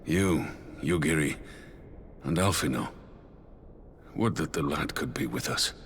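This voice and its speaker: Solemn old-soldier commander from a video game, real deep, big hall reverb. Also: male voice. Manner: formal, solemn, measured. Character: grave one-armed veteran commander of a liberated homeland. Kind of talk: video game cutscene acting. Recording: long hall reverb, faint background noise.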